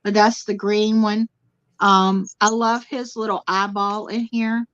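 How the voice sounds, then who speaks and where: A woman's voice: medium pitch, little pitch movement, unhurried, a bit bright, no echo. A woman guest in a casual spiritual chat, talking animatedly, repeating words for emphasis and speaking straight to the viewers. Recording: dull lo-fi sound, dry.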